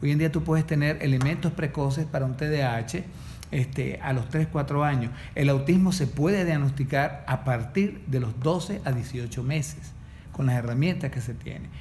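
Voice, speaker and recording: A man's voice: mid-range voice, moderate pace, darkish tone, a little hiss or hum. A man, a course facilitator explaining in a didactic way and checking in with the listener. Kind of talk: explanatory podcast conversation.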